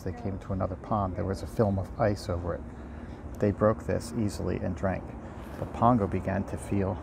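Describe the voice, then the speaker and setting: A man's voice: sits low, creaky. A man reading a storybook aloud informally outdoors, in an unpolished way with occasional stumbles. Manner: unpolished, stumbles and rereads lines. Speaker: amateur audiobook reader and host. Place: outdoors by a river. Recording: faint outdoor ambient noise. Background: faint outdoor ambience.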